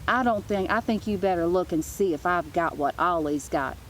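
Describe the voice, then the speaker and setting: A woman's voice: on the low side, normal speed, slight background noise, lightly acted, rough recording. A woman voicing a sly, brazen schoolgirl bully in a storybook, blunt and taunting. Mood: sly, feigned innocence.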